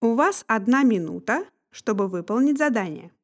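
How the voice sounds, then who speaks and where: A woman announces the instructions and timings of a language listening exam. Her delivery is crisp and formal, with lively rises and falls in pitch. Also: moderate pace.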